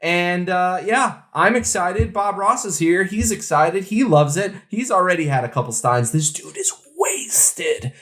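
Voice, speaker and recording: A man's voice: sits high, lively intonation, measured pace, hardly any pauses, fairly bright tone. A man co-hosting a podcast, chatting casually in an enthusiastic, slangy way. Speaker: co-host of a craft beer podcast.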